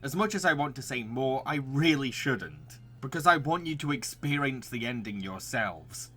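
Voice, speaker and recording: A man's voice: medium pitch, expressive, moderate pace, a bit bright. A man narrating a scripted review as the presenter of a recommendation video, with enthusiasm.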